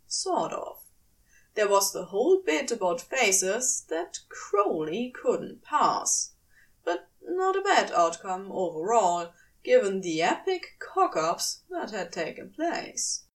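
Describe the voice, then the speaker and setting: Slow, high-voiced woman narrating expressively. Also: distant mic. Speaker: fan fiction audiobook reader.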